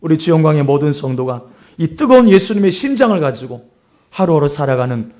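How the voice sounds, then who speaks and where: A middle-aged man preaches in a notably high voice with a hoarse edge. He speaks as a pastor, moving between storytelling and exhortation, and repeats phrases for emphasis. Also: sing-song, brisk, a little mellow.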